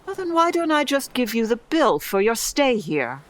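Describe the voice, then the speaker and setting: A woman's voice: a little high, very animated, normal speed. A woman playing a dignified, exasperated Amazon queen with a formal, stern manner in a comedy audio drama. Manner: formal, stern, long-suffering.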